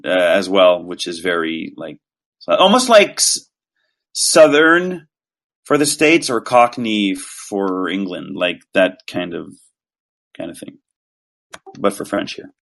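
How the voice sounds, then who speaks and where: A man from Quebec, a voice actor guest, chatting casually in a relaxed, rambling, self-deprecating way. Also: low voice, slow pace, gravelly, long pauses. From Quebec, Canada. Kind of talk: casual interview chat.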